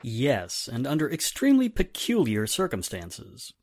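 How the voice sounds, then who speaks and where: A woman's voice: extremely low pitch, wildly swooping pitch, measured pace, a little mellow, dry recording, clean studio sound, heavily acted. A woman playing a grave, businesslike police inspector in a formal, serious 1940s radio drama style.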